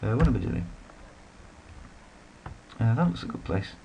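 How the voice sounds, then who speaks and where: Low creaky british guy rambling thru a strategy game, bit of hiss behind. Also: big pitch swings, moderate pace. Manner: rambling, self-deprecating asides. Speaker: let's play commentator. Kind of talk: casual gameplay commentary.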